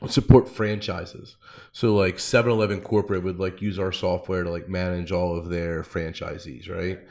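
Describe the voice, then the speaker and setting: A man with a fairly low voice hosts a podcast. He talks informally, in loose slangy storytelling that leans often on "like". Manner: informal, slangy, frequent 'like'. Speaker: podcast host. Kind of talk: casual chat, personal storytelling.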